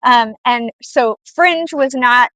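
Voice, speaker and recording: A woman's voice: on the high side, takes it slowly, pushed and bright, no echo. An American woman co-hosting a live show in casual chat, playful, teasing and emphatic. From born in Poland, raised in the United States.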